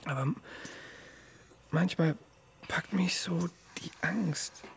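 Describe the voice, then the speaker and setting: An actor playing an anxious, apologetic expectant father, halting and intimate in naturalistic radio play dialogue. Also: on the high side, vocal fry, a little hiss or hum. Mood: anxious, confessing.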